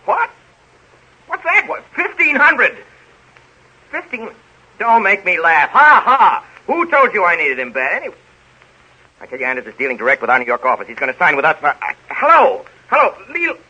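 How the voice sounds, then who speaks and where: An actor playing a blustery, scatterbrained Hollywood studio boss in a 1930s radio comedy, bossy, stammering and excitable. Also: male voice, sits high, moderate pace, slightly rough, heavily acted. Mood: agitated, haggling. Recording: dull vintage 1930s radio recording.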